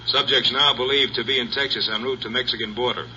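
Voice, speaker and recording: A man's voice: medium pitch, fairly even intonation, quick pace, pushed and bright. A man acting a terse police radio dispatcher in an old-time radio drama, reading a bulletin in a clipped, formal way. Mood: official. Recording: dull, noisy old radio recording.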